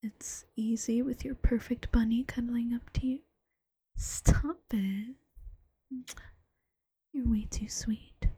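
A woman voicing an affectionate, teasing bunny-girl girlfriend in a slow, coaxing ASMR whisper. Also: a little high, fairly even intonation, warm tone, breathy edge, exaggerated voice, poor audio. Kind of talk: ASMR roleplay.

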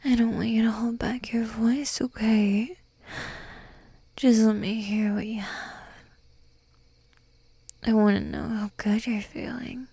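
Woman breathy whispering up close, super slow and bossy, a bit gravelly. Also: a little high, little pitch movement, dark tone, no echo. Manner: dominant, teasing, commanding. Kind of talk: whispered adult roleplay audio.

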